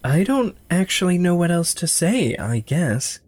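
An actor playing a gentle, guilt-ridden young man, halting and introspective, in a naturalistic audio drama. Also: high voice, very animated, dry recording, full character voice. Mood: halting, reluctant. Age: young adult.

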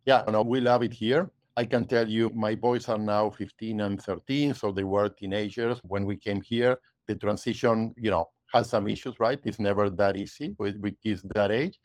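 A man's voice: lowish voice, fairly even intonation, moderate pace, hardly any pauses, darkish tone, dry recording. A man in his forties from Argentina, talking conversationally as a podcast guest, often tagging "you know" and "right?". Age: 40s. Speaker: guest, realtor. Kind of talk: podcast interview.

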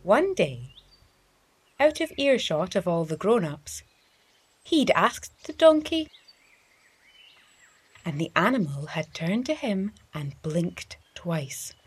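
A woman reading a story for young children in an expressive, deliberate storytelling manner, as the host of a story series. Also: fairly low pitch, slightly rough.

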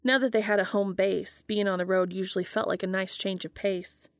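A woman hosting a podcast, reading fan fiction aloud in a steady, even storytelling manner. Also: mid-range voice, no room sound, clean studio sound. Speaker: podcast host and reader.

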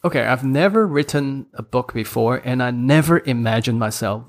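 A man's voice: medium pitch, normal speed. A man in his thirties with a Hong Kong accent, speaking English as a third language, chatting as a podcast guest in a candid, self-deprecating way with frequent laughs. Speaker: podcast guest, course creator. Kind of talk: casual interview chat.

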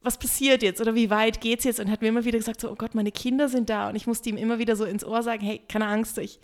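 Higher-voiced woman, chatty storyteller. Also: fast. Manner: giggly, animated storyteller who acts out quotes. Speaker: podcast co-host and stripper. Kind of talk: casual podcast chat with personal storytelling.

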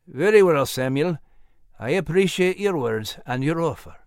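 A steady, fair-minded colonial magistrate who weighs his words carefully, as a man reads him. His tone carries dry amusement and a lively pitch. The sound is close and dry. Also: dry recording. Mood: measured. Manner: measured, dryly amused.

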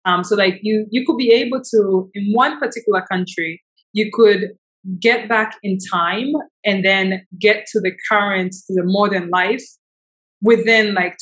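A woman who grew up in Tanzania speaks as an interview guest. She talks in an enthusiastic, effusive and conversational way, with a voice of medium pitch. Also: rough recording.

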